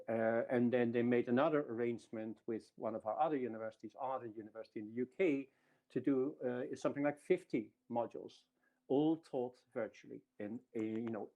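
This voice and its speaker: Man giving a mellow talk. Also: medium pitch. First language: Dutch. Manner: explanatory, with frequent restarts. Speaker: university speaker. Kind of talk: webinar presentation.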